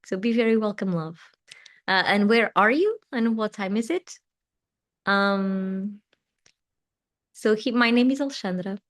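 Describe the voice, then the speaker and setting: A Portuguese woman with a Portuguese accent, English not her first language, hosting a live tarot and meditation stream, talking in a gentle, soothing way that often trails off softly. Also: medium pitch, a little slow, pauses often. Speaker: tarot reader and meditation host. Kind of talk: live-stream chat answering viewer comments.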